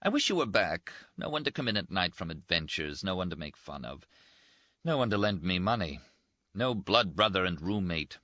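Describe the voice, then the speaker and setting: A man voicing a brash, teasing young Italian army surgeon in an audiobook, quick and boastful. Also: low voice, very animated, pushed and bright, dry recording, muffled recording, full character voice. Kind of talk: audiobook character voice.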